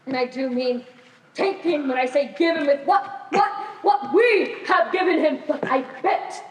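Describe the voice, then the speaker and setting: A woman's voice: sits high, measured pace. A teenage female student actor playing a troubled, earnest Victorian doctor in a stage play. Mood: agitated. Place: high school theater stage. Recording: echoey theater, distant microphone.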